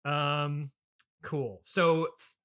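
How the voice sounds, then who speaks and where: Hesitant male interviewer with a bright, higher voice. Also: steady tone, clear, resonant tone. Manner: hesitant, halting, apologetic, bemused. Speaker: podcast interviewer. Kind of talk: improvised comedic interview.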